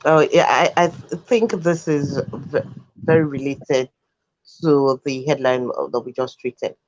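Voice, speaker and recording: A man's voice: medium pitch, fairly even intonation, measured pace, darkish tone, no room sound, highs cut off. A man, a Yoruba speaker, making earnest, emphatic points as an analyst on an education show. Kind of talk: studio discussion commentary.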